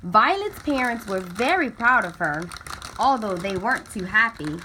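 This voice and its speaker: Bright, animated woman reading a storybook. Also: mid-range voice, moderate pace, slight background noise, low-quality recording. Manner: animated, dramatically stretching key words. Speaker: storybook reader. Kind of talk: expressive children's picture book read-aloud.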